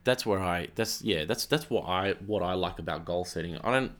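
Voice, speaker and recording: A man's voice: moderate pace, fairly bright tone. A man co-hosting a casual podcast, talking earnestly and repeating words while he works toward his point. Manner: acts out quoted voices in a higher pitch. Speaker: podcast co-host. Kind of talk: casual chat.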